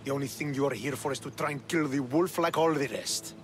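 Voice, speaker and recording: An actor playing a stern, suspicious Spartan military commander in a video game, with a commanding, distrustful manner. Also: male voice, medium pitch, a little fast, soft background music, natural voice. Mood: suspicious, threatening. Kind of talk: dramatic video game acting.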